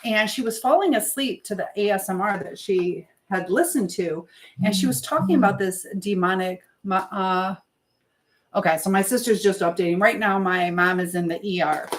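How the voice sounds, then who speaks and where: A woman's voice: medium pitch, normal speed, rough recording. A woman hosting a live stream in a casual, rambling chat, drifting between topics as she keeps busy with chores.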